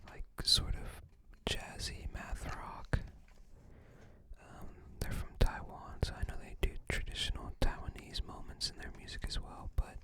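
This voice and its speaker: A person whispering softly and breathily in an unhurried, halting ASMR show-and-tell style. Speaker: ASMR video creator.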